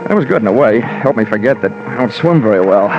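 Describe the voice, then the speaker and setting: An actor playing a wry, hard-boiled American insurance investigator, narrating dryly and colloquially in 1940s radio detective style. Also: male voice, mid-range voice, lively intonation, quick pace, natural voice. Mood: wry, brisk. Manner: dry, sardonic, colloquial. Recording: muffled old radio recording with music.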